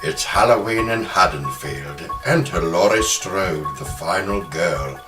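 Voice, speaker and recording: A man's voice: sits low, animated, unhurried. A man hosting a horror podcast, moving between talk and dramatic storytelling. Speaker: podcast host. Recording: roomy, music behind.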